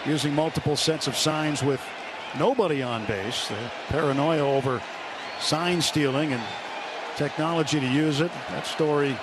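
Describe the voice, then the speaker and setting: A man calls baseball from a stadium booth, his voice sitting a bit high. Loud crowd noise roars behind him on the radio broadcast. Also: moderate pace. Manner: calls big plays with rising, shouted excitement. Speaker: play-by-play announcer.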